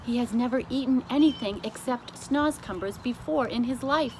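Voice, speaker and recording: A woman's voice: on the high side, normal speed, noisy background, voice pushed up, lightly acted. A woman voicing an earnest young girl in a children's storybook, explaining things plainly.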